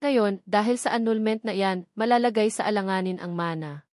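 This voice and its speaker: A woman's voice: mid-range voice, normal speed, darkish tone, no echo, clean studio sound, lightly acted. A woman voicing a furious, domineering older mother who scolds and commands in an audio drama. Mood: furious, accusing.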